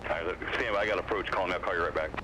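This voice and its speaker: A man's voice: sits low, rapid-fire. A man working as an air traffic controller, giving clipped instructions over aviation radio. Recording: noisy aviation radio transmission.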